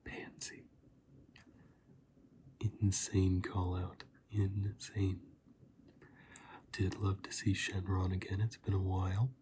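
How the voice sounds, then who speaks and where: Soft, slow, low-voiced whispering man. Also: monotone, background noise. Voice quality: soft, breathy, often whispered. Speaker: video host and reviewer. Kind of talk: softly spoken ASMR movie review, casual.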